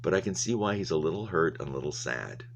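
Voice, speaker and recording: A man's voice: extremely low pitch, sing-song, quick pace, gravelly, muffled recording, a little hiss or hum. A man reading a story aloud in the style of a teacher, with explanatory asides. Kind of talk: read-aloud narration with commentary.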